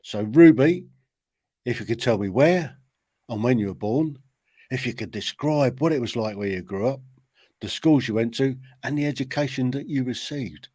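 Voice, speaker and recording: A man with a husky voice hosting a podcast, introducing a guest and prompting with interview questions. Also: mid-range voice, moderate pace, warm tone, no room sound.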